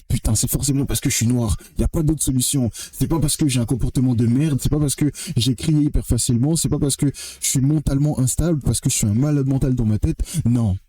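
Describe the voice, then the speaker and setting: A man's voice: medium pitch, brisk, dark tone, no room sound. A young man from French Guiana giving a blunt, emphatic opinion monologue to the camera, swearing freely and often repeating or restarting his phrases. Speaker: vlogger.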